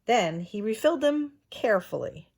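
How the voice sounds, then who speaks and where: A woman reading a children's storybook aloud in a deliberate, expressive teacher's manner. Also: on the high side, gravelly.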